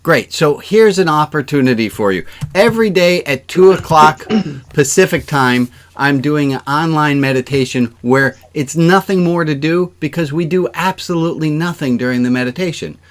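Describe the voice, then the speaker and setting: A man's voice: a little high, moderate pace. A man speaking as a rabbi, giving chatty, informal announcements to an online congregation on a video call, with joking asides. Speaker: rabbi hosting an online service.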